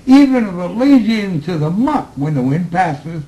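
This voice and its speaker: A man talking slowly and reflectively to a small group in a room, recorded on tape, with laughs between his remarks. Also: sits high, big pitch swings, dark tone, poor audio. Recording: old tape recording with faint hiss.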